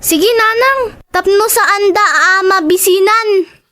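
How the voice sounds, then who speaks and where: A woman's voice: extremely high pitch, takes it slowly, fairly bright tone, heavily acted. A woman playing an obedient, kind young child with an Ilocano accent in a radio drama. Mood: dutiful, concerned. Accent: Filipino, Ilocano.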